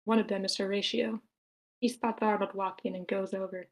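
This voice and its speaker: A woman's voice: mid-range voice, flat delivery, a little fast. A woman reading out scripted stage directions as the narrator of a screenplay table read.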